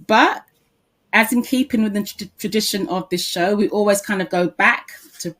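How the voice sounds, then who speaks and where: A woman's voice: medium pitch, moderate pace, a bit bright. A woman hosting a podcast, introducing her guest enthusiastically and asking about her background. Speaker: podcast host.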